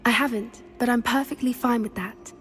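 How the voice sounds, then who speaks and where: A woman voicing a gentle, earnest teenage witch in a video game, sincere and slightly hesitant. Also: fairly high pitch, steady tone, moderate pace, faint music behind, natural voice. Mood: warm, sincere. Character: earnest, gentle teenage schoolgirl at wizard school. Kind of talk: naturalistic video game cutscene acting.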